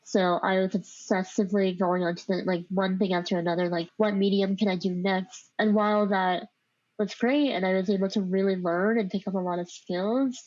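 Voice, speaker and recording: A woman's voice: medium pitch, steady tone, normal speed, a little mellow, dry recording. Woman with a speech impediment, dull dry recording. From born overseas in Vietnam, grew up in the United States. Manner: informal, reflective, peppered with "like". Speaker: podcast guest telling their life story. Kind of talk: candid personal storytelling in an interview.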